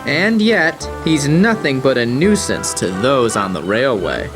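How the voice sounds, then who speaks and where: A man reading scripted storybook narration in a measured, dramatic way. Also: medium pitch, very animated. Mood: storytelling. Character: storybook narrator of talking engine tales. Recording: music playing behind.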